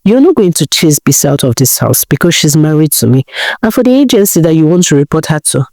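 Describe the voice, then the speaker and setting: Woman storyteller as resolute weary husband, Nigerian accent, stern, reproachful, folktale dialogue, lowish pitch. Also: sing-song, quick pace, dark tone, no echo, clean studio sound. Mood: firm, dismissive. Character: fed-up, resolute husband laying down terms.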